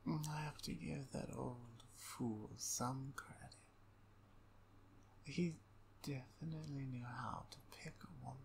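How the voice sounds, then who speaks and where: A woman playing a menacing, playful vampire usurper in an ASMR roleplay, domineering and seductive, with breathy speech that often drops toward a whisper. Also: extremely low pitch, fairly even intonation, slight background noise, exaggerated voice. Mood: seductive, teasing. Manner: slow, deliberate, domineering, teasing.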